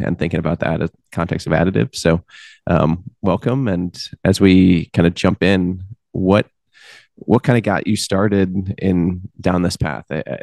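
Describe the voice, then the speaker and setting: Deep gravelly guy hosting a podcast, rambly questions, dry mic. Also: a little mellow, no room sound. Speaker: podcast host. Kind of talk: podcast interview.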